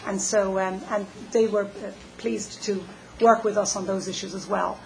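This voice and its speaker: Woman with a gravelly edge presenting. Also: mid-range voice, steady tone, a little hiss or hum, poor audio. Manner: measured, hesitant with fillers. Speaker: planning staff presenter. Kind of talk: public meeting presentation.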